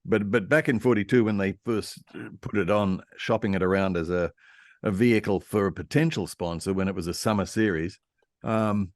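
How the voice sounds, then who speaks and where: Man with a lowish voice telling the history, chatty panel guest, dry recording. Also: moderate pace, no room sound. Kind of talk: conversational panel discussion.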